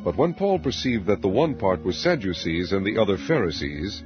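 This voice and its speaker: Low-voiced man solemnly reading scripture. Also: very animated, moderate pace. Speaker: Bible narrator. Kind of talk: scripted dramatic reading of King James scripture. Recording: dull lo-fi audio with music underneath. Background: background music.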